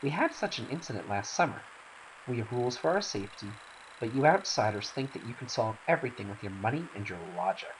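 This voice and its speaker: A man's voice: mid-range voice, very animated, fast talker, close to an everyday voice, poor audio. A man voicing a wary seafarer in a game scene, blunt and cautionary toward outsiders. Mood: wary, scolding. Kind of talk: dramatic reading of game cutscene dialogue. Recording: faint hiss.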